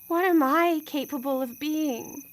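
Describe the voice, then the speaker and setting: A woman's voice: sits high, measured pace. A woman acting a newly made artificial female creature in a radio drama, earnest and formal, wounded and defiant. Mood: wounded, questioning.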